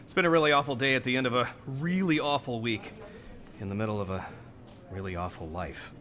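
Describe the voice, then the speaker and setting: Man acting a bright-voiced, exasperated stranded traveler. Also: mid-range voice, natural voice. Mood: weary, apologetic. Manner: halting, stammering, irritable. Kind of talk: naturalistic audio drama acting.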